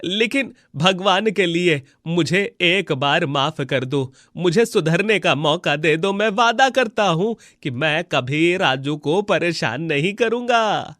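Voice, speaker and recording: A man acts out a frightened landlord from a fairy tale, begging for mercy. His voice is somewhat high for a man, drawn-out and pleading, recorded dry. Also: lively intonation, fairly bright tone, dry recording. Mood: pleading, fearful.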